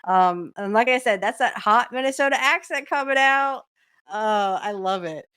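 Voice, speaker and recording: A woman's voice: a little high, normal speed, fairly bright tone, clean tone. A woman hosting a podcast, chatting casually along with a video in a fond, giggly way, with many filler ums. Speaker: podcast host. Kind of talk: casual watch-along commentary.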